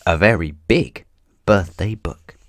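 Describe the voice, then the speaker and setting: A man reading a children's story aloud in an expressive narrating style. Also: low voice, slow, no room sound, studio quality.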